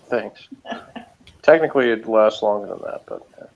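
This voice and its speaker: A man's voice: on the low side, normal speed. A man in his thirties chatting casually as a reviewer, with a dry, sarcastic way of talking. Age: 30s. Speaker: podcast co-host, reviewer. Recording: muffled lo-fi line with noise.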